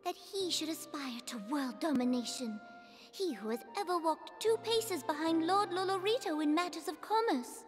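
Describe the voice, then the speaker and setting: A woman's voice: very high voice, animated, moderate pace, faint music behind. An actress playing a regal, earnest and stern female ruler in a fantasy game cutscene, speaking formally in archaic phrases. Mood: indignant. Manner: formal, imperious, archaic speech. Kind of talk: dramatic scripted game cutscene acting. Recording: long echoing reverb.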